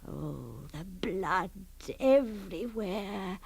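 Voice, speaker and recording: An actress playing an old granny who tells ghost stories to children in a suspenseful, hushed, dramatic way. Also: female voice, mid-range voice, animated, drawn-out words, gravelly, breathy edge, exaggerated voice. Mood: hushed, ghoulish. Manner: suspenseful, hushed whispers swelling to emphasis. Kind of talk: dramatic spooky storytelling.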